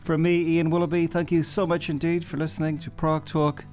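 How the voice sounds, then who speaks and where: A man's voice: on the high side, level tone, a little fast, darkish tone, faint music behind. A man hosting a podcast, asking polite, precisely phrased questions and reading the outro. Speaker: podcast host, interviewer. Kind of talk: interview questions and scripted outro.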